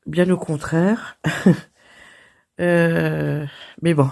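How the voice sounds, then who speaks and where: A French woman hosting her crafting channel, hoarse from a cold, chatting casually and laughing at herself. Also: low-pitched, steady tone, drawn-out words, a little mellow. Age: older. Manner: chatty and self-deprecating, laughing and coughing. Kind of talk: casual chat.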